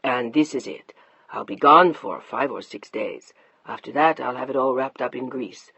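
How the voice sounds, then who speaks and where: A narrator voicing a middle-aged husband who speaks low, calm and unyielding, in a slightly muffled dry recording. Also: male voice, moderate pace, gravelly, breathy edge, no room sound, exaggerated voice. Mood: steely calm. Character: stern controlling husband, middle-aged, quiet and steely.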